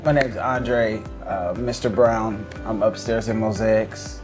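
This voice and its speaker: A man's voice: on the low side, steady tone, slow pace, over music. A man from the Indianapolis area working at a school, answering sincerely and plainly. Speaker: school staff member.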